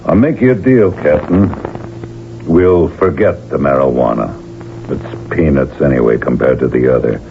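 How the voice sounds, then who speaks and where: A man's voice: a bass voice, normal speed, vocal fry. An actor playing a tough, pragmatic gang gunman in a 1970s radio drama, talking in folksy, ungrammatical, laconic slang. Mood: bargaining. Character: tough, pragmatic male gang gunman, cool and hard-bitten. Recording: tinny old radio drama with hiss.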